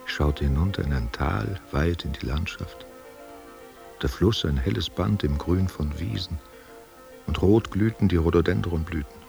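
A man's voice: deep voice, moderate pace, creaky, darkish tone, faint music behind. An actor playing an aging, wistful stage actor who tenderly courts a younger woman, musing and questioning in an intimate radio play. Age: older.

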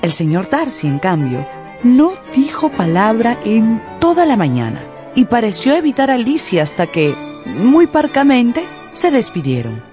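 Woman storyteller with very lively intonation. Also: a little high, unhurried, soft background music. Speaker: narrator. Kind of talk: scripted storytelling narration for a radio drama.

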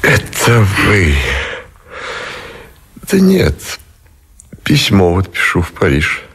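An actor in a Russian radio drama playing a weary, brooding middle-aged admiral with heavy, deliberate delivery. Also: male voice, deep voice, wildly swooping pitch, a bit bright, husky, heavily acted. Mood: weary, restrained.

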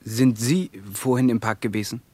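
An actor playing a polite, inquisitive stranger in a radio drama, speaking formally and probing with courtesy. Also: male voice, medium pitch, fairly even intonation, moderate pace, darkish tone, no echo, close to an everyday voice. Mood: courteous, probing. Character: polite, inquisitive male stranger stopping someone at night.

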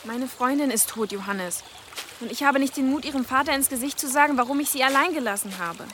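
High-voiced, emotional young village woman. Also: a little fast, fairly bright tone, a little hiss or hum. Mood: bitter, hurt. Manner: emotional, plaintive. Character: guilt-ridden young village daughter, hurt and defiant. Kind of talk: German audio drama acting, emotional dialogue.

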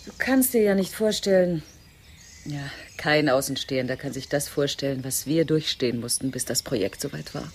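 An actress playing a self-assured, patronizing zoo director in a German radio drama, declamatory and condescending. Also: female voice, sits low, normal speed, husky. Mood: exalted, proud. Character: Andrea Burden, grandly self-assured, patronizing woman running a zoo project.

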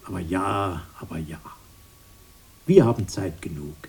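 An actor playing a protective, simple-minded and haunted grown-up brother in a radio drama, halting and repetitive, soothing one moment and evasive the next. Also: male voice, sits low, darkish tone, slightly rough, heavily acted. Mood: soothing, weary. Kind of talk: 1980s German radio drama acting.

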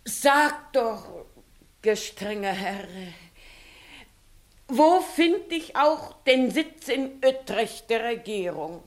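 Stubborn country mother, very slow delivery with lively swings, old radio play. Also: fairly high pitch, exaggerated voice. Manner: deliberate, dogged. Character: stubborn village matron, mother seeking justice for her jug. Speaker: actor. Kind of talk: 1960s German radio play acting.

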